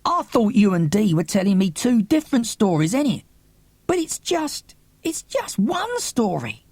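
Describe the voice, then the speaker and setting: Man voicing an earnest child, husky and urgent. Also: high voice, huge pitch swings, unhurried, a little mellow, dry recording, pitched above the performer's own voice, full character voice. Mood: curious. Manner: urgent, informal, wide-eyed. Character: eager, earnest child, excitable and emotional.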